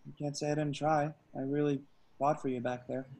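A man reading the part of a hapless, earnest young slacker hero at an amateur table read, halting and excitable. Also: fairly high pitch, gravelly. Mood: resigned. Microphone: video call.

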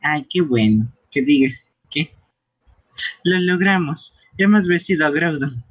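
A man dubbing game dialogue live in an amateur, playful, teasing way, with a husky voice. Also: on the high side, a little slow, a bit bright, dry recording.

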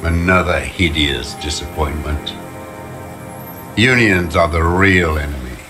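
Slow, low-voiced bitter old communist. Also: male voice. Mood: bitter disdain. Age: older. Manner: embittered, ranting, slow deliberate delivery. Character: bitter old male communist deserter, disdainful and weary. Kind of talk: video game voice acting. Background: music.

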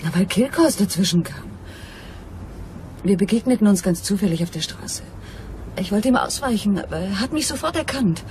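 An actress playing a mysterious swindler who pleads and justifies herself, earnest and dramatic, in in a crime radio drama. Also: female voice, mid-range voice, expressive, normal speed, darkish tone, close to an everyday voice. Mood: confessing, regretful. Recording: radio play with clear vehicle and ambient noise.

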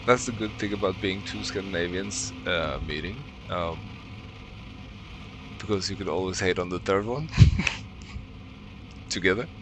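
A man's voice: low-pitched, a little slow, long pauses, noisy background. A young Norwegian man with a Norwegian accent, chatting casually and laughing with his stream while he plays. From Norway. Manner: chatty, laughs often, talks to chat. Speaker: game streamer. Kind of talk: casual live-stream chat while playing. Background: game sounds.

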